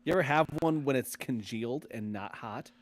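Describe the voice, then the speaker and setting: A man's voice: medium pitch, normal speed. A man hosting a podcast, chatting casually about games in a blunt, slangy, opinionated way. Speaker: podcast host.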